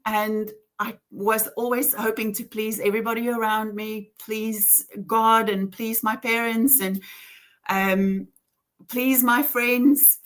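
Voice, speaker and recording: A woman with an Afrikaans accent, a native Afrikaans speaker from South Africa, telling her faith story in an earnest, reflective way with many ums and small laughs. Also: medium pitch. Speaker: interview guest. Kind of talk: personal faith testimony.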